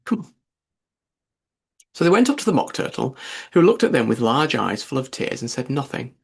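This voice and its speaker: A man's voice: medium pitch, very animated, a little fast, gravelly, husky. A man narrating a children's storybook as a scripted audiobook reading.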